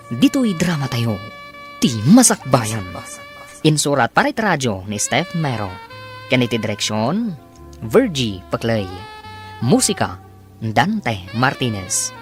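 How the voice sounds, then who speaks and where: A woman narrating and announcing a scripted radio drama. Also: a bass voice. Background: music behind the voice.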